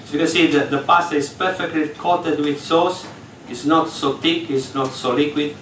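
A man with a fairly high voice walks an audience through a recipe on stage, in patient, slightly broken English. The sound is caught from far away in a large reverberant room, with faint background noise. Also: fairly even intonation, moderate pace, a bit bright. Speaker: executive chef. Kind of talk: live cooking demonstration. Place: large hall on a ship, in front of an audience. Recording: echoey hall from far off.

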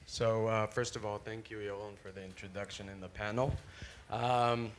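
A man in his thirties giving a rehearsed startup pitch told as a personal story from the stage. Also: little pitch movement, normal speed, slight background noise. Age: 30s. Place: large bright conference room, on stage. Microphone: handheld microphone.

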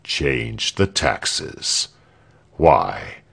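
A man's voice: a bass voice, very animated, extremely slow, gravelly, a bit bright, slightly rough, pitched below the performer's own voice, full character voice. A man voicing a haughty, coldly menacing governor with a curt, sardonic manner in a storybook reading. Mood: impatient.

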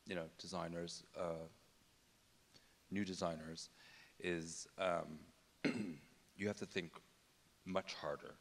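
A middle-aged man, a designer on a stage panel, talking reflectively into a handheld mic with frequent fillers and restarts. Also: deep voice. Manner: hesitant, many fillers and restarts. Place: stage in a large auditorium. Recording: long reverb of a large hall.

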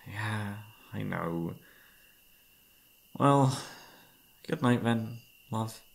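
Gravelly, tired boyfriend, acted by a man. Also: on the low side, very slow, full character voice. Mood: weary, reluctant. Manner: tired, sighing, hesitant, soft-hearted. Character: exhausted, overworked, affectionate young boyfriend. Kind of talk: intimate ASMR roleplay acting.

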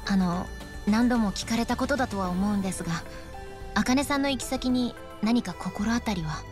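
An actress voicing a considerate, cool-headed young woman with a gentle, tactful manner in a video game cutscene. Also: medium pitch, moderate pace, over music, lightly acted. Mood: concerned.

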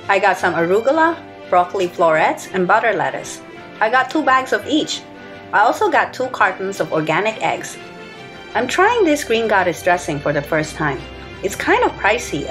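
A woman in her thirties or forties reading a scripted voice-over, listing her grocery purchases in an even, matter-of-fact way. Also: lowish voice. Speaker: grocery haul vlogger. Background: soft background music.

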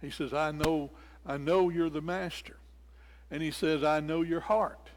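A man's voice: fairly high pitch, fairly even intonation, unhurried, a little hiss or hum. An older man preaching an expository sermon, explaining scripture and repeating phrases for emphasis. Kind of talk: sermon, expository Bible teaching. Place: indoors in a church sanctuary at a lectern. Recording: reverberant church hall.

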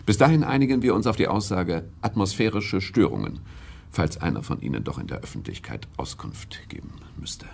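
Gravelly, deep-voiced head of government. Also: male voice, very animated, brisk, in a whisper, exaggerated voice. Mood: evasive, firm. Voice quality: gravelly, husky. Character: male head of government, evasive, authoritative, placating politician. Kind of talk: radio play acting.

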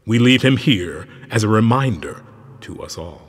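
A man's voice: fairly low pitch, expressive, normal speed, breathy edge. An actor playing a coldly courteous, menacing criminal mastermind in a video game, formal and pompous in his delivery. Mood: disdainful. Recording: long reverberant hall.

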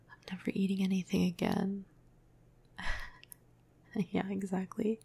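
Flirty, gentle female vampire for ASMR roleplay woman performer: breathy half-whisper, dark mellow tone, very even pitch, playful casual delivery. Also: full character voice. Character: flirty, cuddly, teasing female vampire crush. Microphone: close microphone.

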